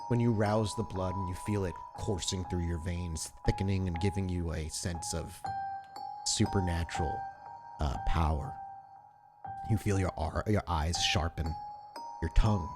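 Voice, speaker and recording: A man with a lowish voice acts as game master, narrating scenes of a tabletop roleplaying game. Music plays clearly behind him. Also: fairly even intonation, measured pace.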